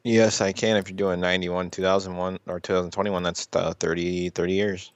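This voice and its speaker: Smooth, lowish-voiced man co-hosting a podcast. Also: little pitch movement, brisk, no echo, lo-fi sound. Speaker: podcast co-host. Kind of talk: casual chat.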